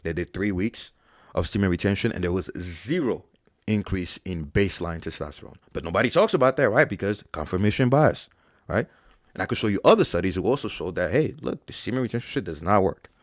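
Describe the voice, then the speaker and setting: Young male fitness host, deep voice, dry booth sound, profane and exasperated. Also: expressive, brisk, no echo. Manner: blunt, profane, exasperated debunking. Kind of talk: live Q&A, reading chat questions.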